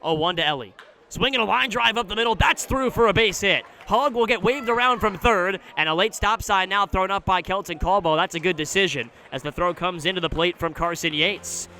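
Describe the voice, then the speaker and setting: An American man calling baseball play-by-play for radio, describing each moment in detail in a live outdoor broadcast. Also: high-pitched. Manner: measured description, excited on big plays. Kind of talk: live sports commentary. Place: outdoor ballpark broadcast position.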